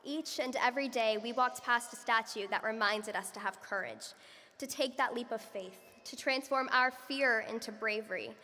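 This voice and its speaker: A young woman, a student, giving a graduation speech in an earnest, measured way. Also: fairly high pitch, sharp tone. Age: young adult. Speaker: student speaker. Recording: echoey hall from far off.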